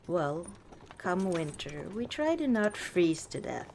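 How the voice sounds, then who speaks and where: A woman playing a warm, teasing orc chieftain wife in an unhurried, dryly dismissive ASMR roleplay monologue. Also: fairly low pitch, creaky, slight background noise, heavily acted. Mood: matter-of-fact.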